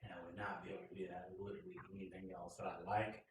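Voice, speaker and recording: A man co-hosting a casual motorcycle live stream, joking and teasing with loud bursts. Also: sits low, little pitch movement, quick pace, noisy background. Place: garage. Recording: echoey garage, far from mic.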